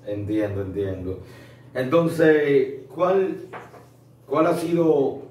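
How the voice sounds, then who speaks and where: A man hosting a casual interview with an ordinary mid-range voice, nudging his guest with short questions and quick affirmations. He is heard from some distance in an echoey room with faint background noise. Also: medium pitch, a little mellow. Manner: informal, brief affirmations, probing questions. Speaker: interviewer host. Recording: roomy echo, distant mic, faint noise.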